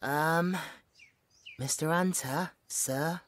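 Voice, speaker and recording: A woman's voice: low-pitched, drawn-out words, noisy background. A woman with a British accent playing a feisty, chatty bard in a fantasy audio drama. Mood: hesitant. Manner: colloquial, cheeky, expressive. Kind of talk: fantasy audio drama acting, narrating to the audience.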